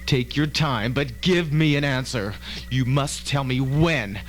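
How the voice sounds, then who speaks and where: A man's voice: mid-range voice, unhurried, natural voice. A man playing a defiant, fatalistic, obsessed scientist in an old-fashioned radio drama, intense and theatrical. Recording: old amateur tape, faint hum.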